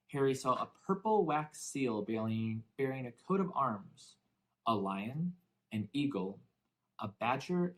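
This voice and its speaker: Measured man narrating a storybook. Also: mid-range voice, poor audio. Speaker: audiobook narrator. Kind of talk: expressive scripted storybook narration.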